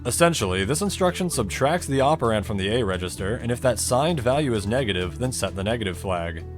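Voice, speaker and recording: Male video narrator, animated intonation, scripted explainer style, conversational, dry asides, music behind. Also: mid-range voice, brisk. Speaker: video creator narrating a technical game explainer. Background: music bed under the voice-over.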